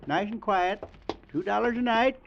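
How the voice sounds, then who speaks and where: Folksy old rural American innkeeper, high voice, friendly, unhurried, on a dull, slightly hissy vintage radio play. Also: male voice, gravelly. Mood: folksy, hospitable. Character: friendly, folksy old country hotelkeeper, male. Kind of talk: 1940s radio drama acting. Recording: dull, faintly noisy vintage radio recording.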